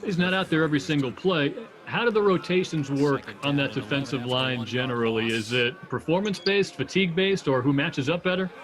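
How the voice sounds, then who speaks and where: A man moderating a watch party, giving background information and asking steady questions. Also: a little high, fairly quick, a crowd in the background.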